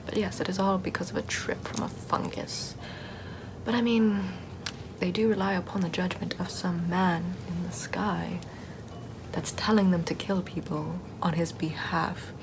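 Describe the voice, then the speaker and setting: A woman playing a sardonic Puritan-era forest witch in a soft, rambling, mostly whispered ASMR roleplay. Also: lowish voice, steady tone, a little slow, gravelly, noisy background. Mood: exasperated, mocking. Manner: rambling, conversational, repeats words softly.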